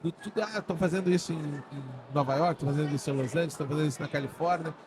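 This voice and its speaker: A man's voice: fairly high pitch, racing, noisy background. A man from Brazil hosting a podcast who asks long, rambling questions. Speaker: podcast host. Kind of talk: podcast interview. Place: studio setting.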